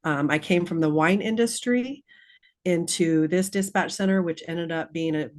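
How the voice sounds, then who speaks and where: A middle-aged woman from California with a fairly low voice gives an informal talk on a video call. She is a guest presenter, slightly nervous and feeling her way along. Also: moderate pace. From Sonoma County, California. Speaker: 911 dispatcher, guest speaker.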